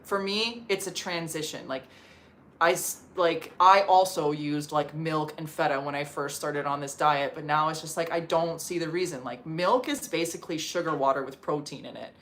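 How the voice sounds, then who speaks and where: Woman from Canada, somewhat low voice, chatty livestream host, lots of "like", answering viewer comments from home. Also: steady tone, moderate pace, a bit bright. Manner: chatty, filler-heavy with frequent "like". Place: home in Portugal.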